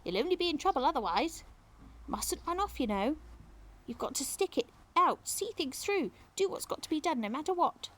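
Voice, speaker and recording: A woman's voice: high-pitched, very animated, moderate pace, fairly bright tone, voice pushed up. A woman voicing a chatty, kindly and wistful old English lady in clipped, homely phrases for a children's storybook. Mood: kindly, firm.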